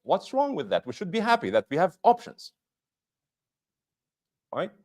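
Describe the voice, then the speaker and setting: A man's voice: high voice, huge pitch swings, normal speed, a little mellow. A man giving a mosque lecture as imam, explaining patiently with rhetorical questions and repeating key points for emphasis.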